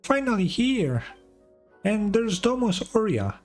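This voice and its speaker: Man putting on a high chirpy little fairy girl. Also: big pitch swings, slow pace, soft background music, exaggerated voice. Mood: curious, amazed. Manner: exclamatory, inquisitive. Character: small chirpy childlike floating fairy companion, female, chatty. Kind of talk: video game dialogue read aloud.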